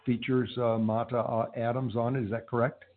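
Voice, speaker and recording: Man, mid-pitched interview host, measured and reflective, hesitant fillers, thin phone-line sound. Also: level tone. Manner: measured, thoughtful, with hesitant ums. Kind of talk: interview questions.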